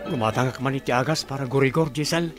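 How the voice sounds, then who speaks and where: A man acts out a married villager in a radio comedy drama. He is caring and likes to gossip. His voice has a medium pitch. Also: fast, over loud music, lightly acted. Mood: plain, polite.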